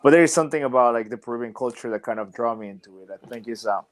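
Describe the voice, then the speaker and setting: A young man who wrestles professionally talks as a podcast guest at a mid-range pitch. He rambles thoughtfully and leans on "like" and "you know" while he works out his ideas. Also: racing. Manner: rambling and reflective, many "like" and "you know" fillers. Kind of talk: casual interview chat.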